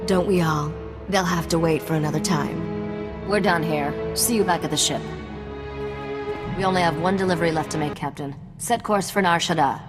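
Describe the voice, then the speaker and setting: A woman's voice: sits low, normal speed, big-room sound, loud music behind the voice, natural voice. A woman playing a guarded, confident young smuggler's associate in a video game, controlled and matter-of-fact. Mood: cool, businesslike.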